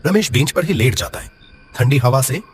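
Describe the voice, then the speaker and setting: A man's voice: mid-range voice, lively intonation, normal speed, soft background music. A man narrating a scripted horror story dramatically.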